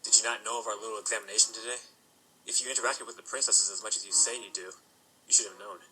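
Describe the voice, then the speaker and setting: Man acting a fast-talking, guarded young knight apprentice. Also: on the low side, fairly even intonation, sharp tone, husky, exaggerated voice, poor audio. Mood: wary. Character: guarded young male pony knight apprentice, wary and sardonic. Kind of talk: audio drama acting.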